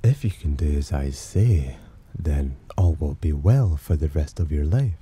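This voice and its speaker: A man playing a sly, possessive demon in an ASMR roleplay, speaking in a smug, teasing, softly commanding manner with a husky voice. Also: lively intonation, measured pace, exaggerated voice. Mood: solemn, possessive. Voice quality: very deep, dark, husky. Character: possessive, amused, sly male demon protector. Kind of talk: ASMR roleplay, slow intimate acting.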